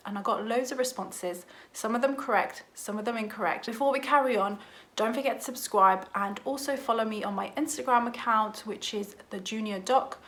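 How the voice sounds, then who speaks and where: A young woman in her twenties speaks to her viewers in a relaxed vlog. Her voice is croaky from a cold, and her delivery is chatty and frank, full of casual fillers. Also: medium pitch. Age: 20s. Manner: chatty and candid, many ums and likes. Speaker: junior doctor vlogger. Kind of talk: casual vlog talk to camera.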